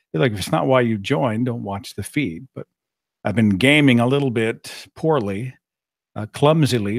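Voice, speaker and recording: A middle-aged man with a raspy voice, hosting a casual live-stream chat and rambling along with plenty of fillers. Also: medium pitch, no echo, clean studio sound. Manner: rambling, conversational, with frequent fillers. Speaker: podcast host.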